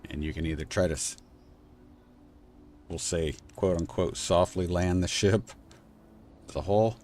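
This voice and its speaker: A man streaming a game from home, thinking aloud and answering chat in a soft, unhurried voice. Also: slight background noise. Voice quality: low, soft, relaxed voice. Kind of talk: casual live-stream gameplay chat.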